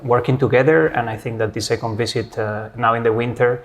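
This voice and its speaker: A man's voice: medium pitch, fairly even intonation, brisk. Spanish-accented man explaining his research. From Spain. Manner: friendly, explanatory, with frequent "uh" hesitations. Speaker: physics professor, interview guest. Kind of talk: academic interview conversation.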